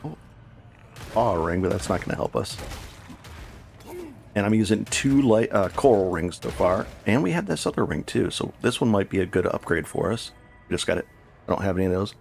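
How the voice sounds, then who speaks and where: A man's voice: deep voice, animated, fairly quick, creaky, pauses often, a little mellow, faint music behind. A man chatting casually with viewers while he plays a game live, rambling and correcting himself as he goes. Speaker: game streamer. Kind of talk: casual live gameplay commentary.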